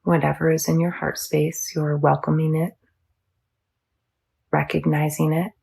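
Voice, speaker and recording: A woman teaching yoga nidra, guiding a meditation in a soothing, measured, instructional manner. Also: deep voice, level tone, gravelly, a little mellow, husky. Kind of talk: guided meditation.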